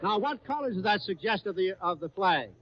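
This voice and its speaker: A man's voice: sits high, expressive, a little fast. A man hosting an old radio quiz, coaxing contestants along with repeated questions and exclamations. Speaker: quiz show host. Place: radio studio before an audience. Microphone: stage broadcast microphone. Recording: muffled, lo-fi 1939 radio broadcast.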